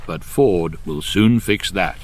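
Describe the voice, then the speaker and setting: An actor playing a wry, knowing guidebook that narrates a radio comedy in a measured, ironic way. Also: male voice, deep voice. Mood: droll.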